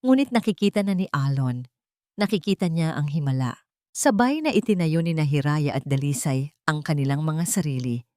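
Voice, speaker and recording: A woman narrating a scripted story in a theatrical, suspenseful way with deliberate pauses. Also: low voice, a little mellow, no room sound, studio quality. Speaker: audiobook narrator.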